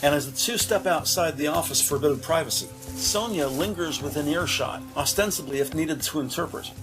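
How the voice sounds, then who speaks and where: A man giving measured, descriptive present-tense narration for a scripted drama. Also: fairly high pitch, music in the background, poor audio.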